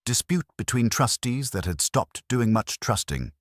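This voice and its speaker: A man's voice: mid-range voice, big pitch swings, a little slow, no echo, studio quality, pitched above the performer's own voice. A man voicing an agreeable, dutiful junior policeman who reports drolly and matter-of-factly in a mystery story. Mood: dutiful, droll.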